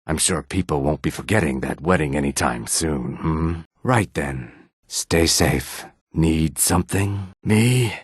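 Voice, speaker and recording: Very deep lizardfolk assassin. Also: male voice, expressive, a little slow, hardly any pauses, husky, no room sound, clean studio sound, exaggerated voice. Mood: calm, courteous. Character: calm, menacing male lizardfolk assassin, proud and courteous. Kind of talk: video game character dialogue.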